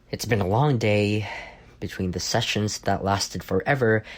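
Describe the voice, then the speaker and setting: A man playing an arrogant, sardonic office rival with a soft spot, in an intimate roleplay audio drama. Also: on the low side. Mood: exasperated, tired. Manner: teasing, sardonic, stammers when caught off guard. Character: arrogant, bantering male office rival turned flustered admirer.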